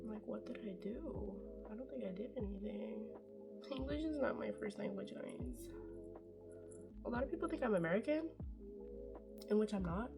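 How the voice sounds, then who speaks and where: A woman's voice: medium pitch, animated, moderate pace, vocal fry, long pauses, loud music behind the voice. Chatty young woman doing a makeup tutorial. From Norway. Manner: chatty, rambling, self-deprecating, swears casually. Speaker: beauty vlogger. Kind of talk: casual makeup tutorial chat.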